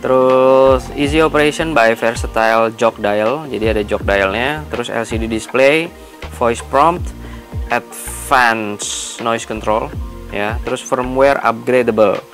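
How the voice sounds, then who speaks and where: A man's voice: medium pitch, slow pace, fairly bright tone. Male vlogger, Jakarta Indonesian accent, mid-range voice, informal unscripted product walkthrough over light background music. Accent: Indonesian, Jakarta colloquial. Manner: informal, explanatory, thinking aloud. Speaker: motovlogger, product unboxing host. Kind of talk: casual unscripted unboxing and tutorial chat.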